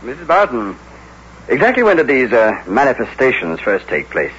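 An actor playing a measured, politely skeptical police inspector with a husky voice, in old radio drama style. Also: male voice, medium pitch, wildly swooping pitch, a bit bright, slight background noise. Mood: politely probing. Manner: deliberate, polite, probing. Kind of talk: 1940s-50s radio drama acting. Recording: muffled old radio recording.

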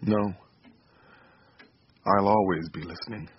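Radio drama dad: low, sincere, subdued, remorseful, slightly muffled recording. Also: male voice, steady tone, slow pace, slight background noise. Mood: tender, regretful. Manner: subdued, plain-spoken, sincere. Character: Martin, earnest, regretful small-town father. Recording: dull lo-fi audio, faint music.

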